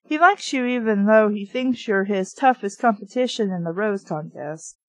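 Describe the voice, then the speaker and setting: A woman's voice: medium pitch, normal speed, dry recording, rough recording. A woman reading a novel aloud and breaking off for frank, informal commentary in an amateur reviewer's style. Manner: informal, frank asides, mild swearing. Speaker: amateur book reviewer and reader.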